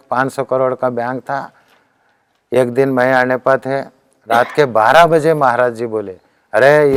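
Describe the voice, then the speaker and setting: Clear-voiced Deccan Hindi spiritual speaker, man. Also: mid-range voice, no echo. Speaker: spiritual teacher. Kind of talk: spiritual discourse.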